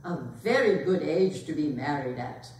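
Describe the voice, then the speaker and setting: In a very echoey hall, far from the microphone, a woman plays an imperious society mother. She delivers pompous verdicts with lively, swooping intonation, as in a period stage comedy. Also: sits low, normal speed. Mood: haughty, imperious. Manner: pompous, pronouncing grand opinions. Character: imperious upper-class older mother interrogating a suitor. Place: performance hall, recorded from a distance.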